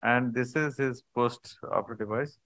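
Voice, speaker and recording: A man, a surgeon, explaining surgical video clips in a halting conference talk over a video call. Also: medium pitch, fairly bright tone, no echo. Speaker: surgeon presenting cases.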